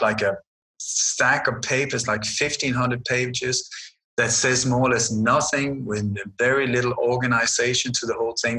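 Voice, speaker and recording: A man's voice: medium pitch, bright, forceful tone, rough recording. A middle-aged man from Sweden, answering interview questions in an emphatic, sarcastic storytelling manner. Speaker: guest researcher.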